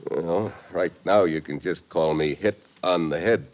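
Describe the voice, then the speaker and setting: An actor playing a tough, wry, laconic American agent in a 1950s-style radio drama. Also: male voice, low-pitched, measured pace. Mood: wry, probing. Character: tough, wry American male special agent posing as newspaperman. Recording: dull, muffled vintage radio recording.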